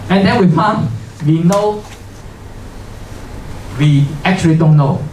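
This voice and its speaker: Middle-aged man giving a meetup talk, halting and lively, heard far off in an echoey lecture room. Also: on the high side, a little hiss or hum. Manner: halting, many restarts and fillers, chuckles at own points. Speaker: speaker at a tech meetup. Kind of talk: informal conference talk. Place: lecture room with seated audience. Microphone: handheld mic. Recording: roomy hall audio from far off.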